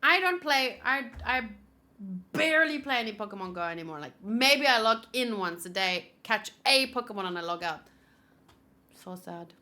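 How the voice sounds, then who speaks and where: A woman game streamer with a high, bright voice that jumps around in pitch. She chats casually and reacts live, rambling in a playful, gushing way with some swearing. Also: animated, normal speed.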